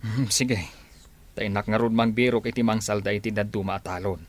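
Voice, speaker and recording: A man's voice: lowish voice, steady tone, brisk, lightly acted. A man acting an obliging village farmer and father in a radio drama, with an Ilocano Filipino accent. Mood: worried, agreeing. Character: obliging village husband and father, farm owner.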